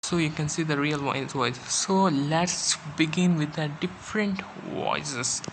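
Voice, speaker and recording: A video channel host narrating an app review and tutorial in a halting, repetitive way. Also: medium pitch, background noise.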